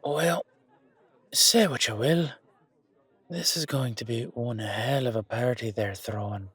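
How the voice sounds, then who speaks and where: An actor playing a jovial, roguish guard in an audio roleplay drama, with folksy, colloquial banter. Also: male voice, medium pitch, takes it slowly, fairly bright tone, slightly rough, dry recording, some chatter behind. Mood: cheerful, teasing. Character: jovial, roguish male guard fond of free drink.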